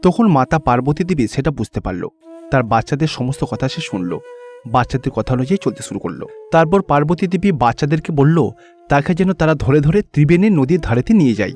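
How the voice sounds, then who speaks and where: A man with a high-pitched voice tells a scripted cartoon tale as narrator. Music plays clearly behind his storytelling. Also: sing-song, brisk, darkish tone.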